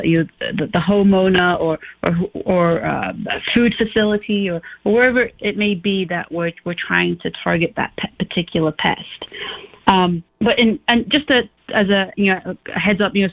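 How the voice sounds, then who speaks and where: A middle-aged woman from Liverpool with a faint Liverpool accent, a guest scientist on a radio show who talks haltingly with many fillers and restarts. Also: medium pitch. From England, Liverpool. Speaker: guest, pest management scientist. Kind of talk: radio interview. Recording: tinny phone line.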